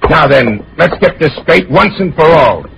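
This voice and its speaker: Gravel-voiced, folksy, exasperated policeman, vintage radio drama, dull recording with faint noise. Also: a little high, expressive, moderate pace. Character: brusque, exasperated police officer, awkwardly folksy. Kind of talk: 1940s radio acting. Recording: muffled, noisy old radio recording.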